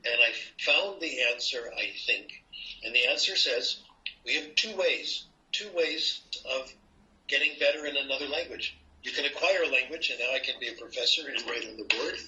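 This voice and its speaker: Playful older American professor, bright voice. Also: male voice, medium pitch, normal speed. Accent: American English. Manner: playful, lively teaching tone with asides. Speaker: linguistics professor, interview guest. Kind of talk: explaining to an interviewer. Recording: dull lo-fi recording.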